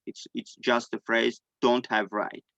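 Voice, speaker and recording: Halting, gravelly man recounting his past. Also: mid-range voice, flat delivery, a bit bright, dry recording, dull audio. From Armenia. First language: Armenian. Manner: halting, searching for words in non-native English. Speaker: guest sharing personal testimony. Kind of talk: personal storytelling in an online discussion.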